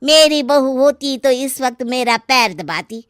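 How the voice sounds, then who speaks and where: A woman's voice: high voice, expressive, measured pace, smooth, no room sound, clean studio sound. A woman voicing a scheming, meddling older aunt in a Hindi story, taunting and insinuating with a sly sweetness. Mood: goading. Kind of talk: dramatized Hindi moral story.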